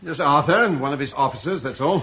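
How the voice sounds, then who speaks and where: An actor playing a dignified Roman-British landowner who speaks earnestly and tries to persuade in a radio play. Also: male voice, a little high, fast talker, fairly bright tone, slightly rough. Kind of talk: 1950s radio play acting.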